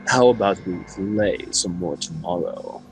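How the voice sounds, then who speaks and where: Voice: low voice, expressive, voice pushed down, rough recording. Someone acting out a taunting, cruel demon lord. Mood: taunting amusement. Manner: taunting, drawn-out menace. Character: mocking, cruel male demon lord, smug and predatory. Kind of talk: fan-dub game villain acting. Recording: music behind.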